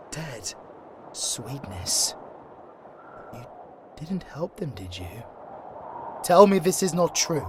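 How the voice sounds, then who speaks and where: An actor playing a gentle young angel in anguish, in a fantasy audio drama, with a pleading, faltering, emotional delivery. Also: male voice, on the high side, background noise, heavily acted.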